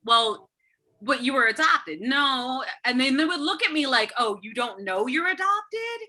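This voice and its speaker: A woman's voice: high voice, unhurried. A woman with a New Jersey accent, a Spanish teacher, telling stories in a group discussion on a video call and acting out the questions people ask her. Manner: animated storytelling, acting out others' questions with laughs.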